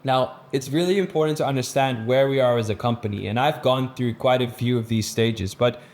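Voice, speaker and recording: Young male founder, mid-pitched voice, earnest and motivational delivery with restarts and fillers, running a team training. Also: brisk, a little mellow. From Netherlands. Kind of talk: casual vlog intro, then presentation-style training.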